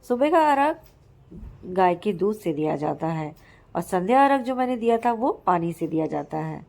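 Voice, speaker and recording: Hoarse-voiced woman vlogging on a rooftop. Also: lowish voice, very animated, moderate pace, darkish tone. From Madhya Pradesh, India. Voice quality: husky. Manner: explanatory, devotional. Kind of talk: casual vlog narration. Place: outdoors on a rooftop.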